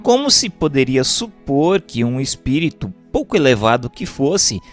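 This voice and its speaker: A man with a Brazilian accent reads a scripted book chapter as narrator, in a formal, measured manner. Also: mid-range voice. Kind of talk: scripted narration of a spiritual treatise. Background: background music.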